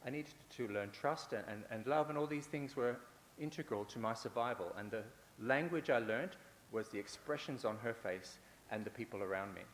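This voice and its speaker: A man's voice: mid-range voice, normal speed. A man giving a conference talk, building his points with repeated phrases and the odd filler or restart. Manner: repetitive, rhetorical, with fillers and restarts. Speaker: conference speaker. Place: large conference hall. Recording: echoey hall with faint hiss.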